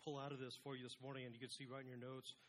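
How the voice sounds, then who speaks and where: A man's voice: mid-range voice, little pitch movement, rapid-fire, a bit bright, slight background noise. A man preaching an informal, conversational sermon as a pastor, with a casual, self-deprecating manner.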